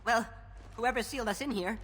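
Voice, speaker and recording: An actor playing a frightened, grateful construction worker in a video game. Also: male voice, extremely high pitch, fairly quick, fairly bright tone, husky, long reverb tail, exaggerated voice. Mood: uneasy.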